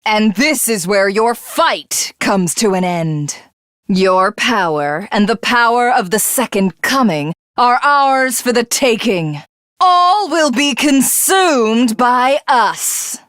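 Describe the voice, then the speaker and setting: A woman's voice: fairly high pitch, lively intonation, slow pace, a bit bright, dry recording, studio quality. A woman voicing a haughty alien villainess in a video game, grandiose, theatrical and condescending. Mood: haughty, menacing. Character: haughty female alien commander craving godhood.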